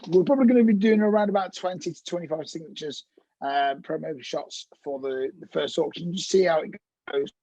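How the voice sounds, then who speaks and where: A man chatting casually as a guest on a video call, relaxed, with frequent fillers. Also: on the high side, creaky, no room sound, rough recording. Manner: relaxed, filler-heavy, laughs easily.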